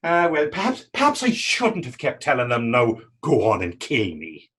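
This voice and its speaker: A man playing a battered, pious and self-mocking fisherman in readers theater, telling his story in halting, folksy dialect. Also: medium pitch, wildly swooping pitch, fairly bright tone, breathy edge, full character voice. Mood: wry. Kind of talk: readers theater dramatic dialogue.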